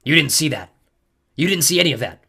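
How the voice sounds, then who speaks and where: A man's voice: fairly high pitch, a little fast, gravelly, a bit bright, pitched above the performer's own voice. A man voicing a tough, proud warrior girl in an audiobook adventure story. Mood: gruff.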